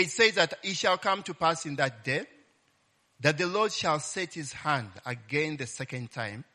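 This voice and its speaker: A man's voice: a little high, bright, forceful tone. A man preaching a casual Bible-teaching sermon, often ending phrases with "eh?" and crying "Glory be to God". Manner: call-and-response, frequent "eh?" tag questions; exclaims "Glory be to God" and "Hallelujah".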